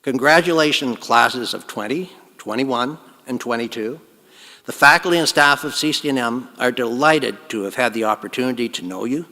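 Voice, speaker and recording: A man giving a formal, earnest convocation address at a podium, his phrases trailing off softly. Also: mid-range voice, moderate pace, big-room sound. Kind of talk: formal ceremonial speech. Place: large hall.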